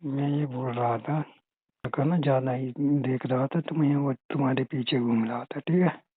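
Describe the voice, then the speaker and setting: A man's voice: medium pitch, fairly even intonation, normal speed, breathy edge, no echo, natural voice. A man playing a flirtatious, possessive brother-in-law, chatting casually and teasingly on a phone call. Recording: tinny narrow phone-call audio.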